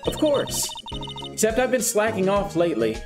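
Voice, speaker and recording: A reader voicing a bubbly, excitable young female spirit medium in a game read-through, with music underneath. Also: mid-range voice, moderate pace, close to an everyday voice. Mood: playful. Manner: eager, goofy, excitable. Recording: background music.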